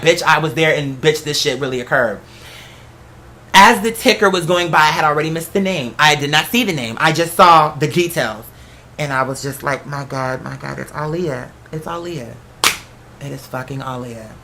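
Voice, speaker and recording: A very bright, forceful voice at a middle pitch tells a personal story conversationally. The speaker is candid and informal, using slang and the odd swear word. Key phrases get repeated for emphasis.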